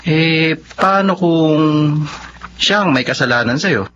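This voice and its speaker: A man's voice: a little high, expressive, slow pace, a bit bright. A man playing an earnest, dutiful young working man in a radio drama. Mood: affectionate. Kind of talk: Tagalog radio drama acting. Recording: dull, slightly noisy radio drama audio.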